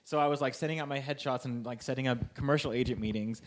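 A man's voice: mid-range voice, quick pace. A young man from California, a guest on a podcast, telling stories in a rambling way and saying "like" constantly. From Sacramento, California, US. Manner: rambling storytelling, heavy use of "like". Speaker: guest, improv performer and writer. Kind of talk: casual podcast chat.

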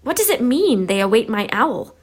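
A woman's voice: fairly high pitch, sing-song, moderate pace, slightly rough. Storybook young boy, acted by a woman, timid, curious, stammering, polite, puzzled. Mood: astonished, curious.

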